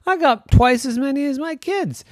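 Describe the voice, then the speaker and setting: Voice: sits high, normal speed, dry recording, pitched above the performer's own voice. A parent doing a silly toothless dad. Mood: scheming. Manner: excitable, whiny, hammy.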